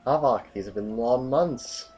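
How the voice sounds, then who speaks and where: A man playing a sober, war-weary revolutionary general in an audio drama, with a husky voice and a measured, blunt manner. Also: mid-range voice, a little mellow, faint music behind, natural voice. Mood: guarded.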